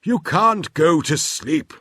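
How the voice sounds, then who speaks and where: A man's voice: fairly high pitch, animated, takes it slowly, pushed and bright, husky, dry recording, studio quality, pitched above the performer's own voice, heavily acted. A man voicing a forgetful, mishearing old professor in a storybook read-aloud, fussy and exclaiming. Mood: muddled.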